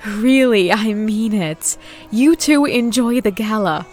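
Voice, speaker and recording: A woman's voice: on the high side, animated, takes it slowly, a little mellow, faint music behind. A woman voicing a regal, lovelorn pony princess and older sister in a scripted audio drama, wistful and theatrical, with sighs. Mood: gracious.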